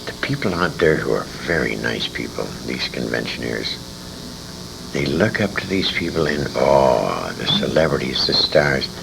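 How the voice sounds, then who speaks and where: Very deep, gravelly-voiced man reminiscing. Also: lively intonation, moderate pace. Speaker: interviewee, former radio show performer. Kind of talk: informal interview reminiscence. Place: old-time radio convention. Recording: noisy amateur convention tape recording.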